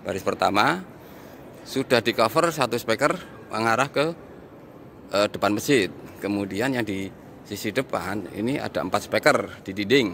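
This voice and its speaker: A man giving an improvised walk-through review, explaining in a halting, repetitive way as he points things out, recorded on location. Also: on the low side, creaky, a bit bright, slight background noise. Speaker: audio reviewer and vlog host. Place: inside a large mosque, walking around.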